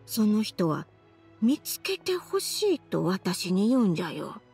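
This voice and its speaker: Forgetful old grandma in an anime game, husky, rambles slowly w/ music behind. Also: female voice, medium pitch, very animated, a little mellow, exaggerated voice. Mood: wistful, rambling. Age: older. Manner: rambling, old-fashioned elderly phrasing. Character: forgetful, dreamy old grandmother of a fantasy land. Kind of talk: Japanese anime game voice acting.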